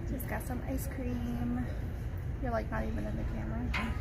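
A woman's voice: on the high side, fairly even intonation, moderate pace, vocal fry. A woman vlogging casually on a phone at a resort, chatting loosely with fillers. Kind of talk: casual travel vlog chat. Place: outdoors at a resort. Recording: noisy outdoor phone recording.

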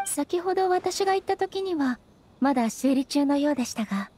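A woman voicing a dignified, gentle female ruler in a Japanese anime game, speaking politely and formally with measured composure. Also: high voice, lively intonation. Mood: calm, encouraging.

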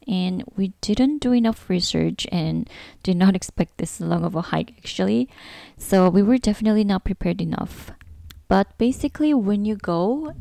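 A woman's voice: fairly low pitch, gravelly, mellow, dry recording. A woman narrating a travel vlog in a casual, scripted voice-over. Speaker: travel vlogger narrating a trip.

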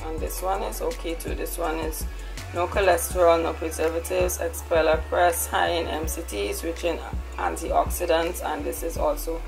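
A woman's voice: low voice, flat delivery, moderate pace, gravelly, faint music behind, rough recording. A woman talking casually through a grocery haul, chatty, often ending lines with a questioning "right?". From Trinidad. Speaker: lifestyle vlogger.

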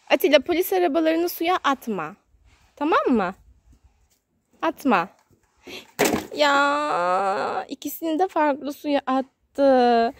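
A woman with a high voice talks to her little child as a mother does, coaxing and sing-song. The chat is casual and homemade, recorded outdoors on a handheld phone with faint background noise. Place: outdoors in a garden. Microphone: handheld phone, behind the camera.

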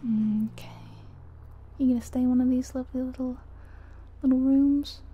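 A woman playing a friendly, chatty taxi driver in soft-spoken ASMR roleplay, breathy and often whispering, gently hesitant as she murmurs along. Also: high voice, fairly even intonation, moderate pace, dull audio. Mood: warm, grateful. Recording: soft ambient car and rain noise.

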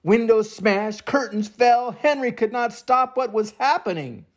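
An older man reading a children's picture book aloud as an expressive storyteller. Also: very high voice, measured pace. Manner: expressive, acts out sound effects and voices.

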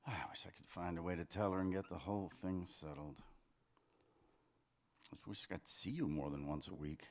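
An older man reading aloud as an insecure, needy married man, speaking softly and pleadingly into a table mic. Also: sits low, fairly even intonation, normal speed, a little hiss or hum. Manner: soft, pleading, quiet. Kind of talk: live fiction reading of dialogue. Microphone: table mic on a stand.

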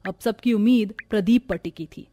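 A woman's voice: mid-range voice, normal speed, warm tone. A woman narrating a scripted story in a dramatic storytelling style.

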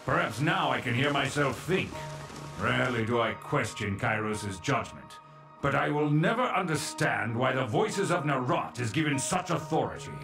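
An actor playing a stern, proud military commander in a fantasy game, speaking formally and with command. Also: male voice, mid-range voice, creaky. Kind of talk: dramatic fantasy game voice acting.

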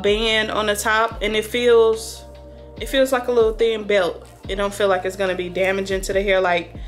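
An American woman in her thirties chatting casually in a rambling, conversational way, as a vlogger talking to a camera in a room at home. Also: medium pitch. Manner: conversational, rambling, thinking aloud. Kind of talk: casual chatty unboxing vlog. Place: small room indoors at home.

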